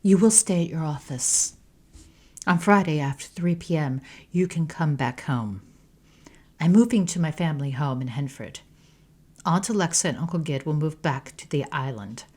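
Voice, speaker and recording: A woman voicing a betrayed wife who speaks with cold contempt, low and clipped. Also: animated, moderate pace, darkish tone, slightly rough. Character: cold, bitter betrayed adult wife, Nina.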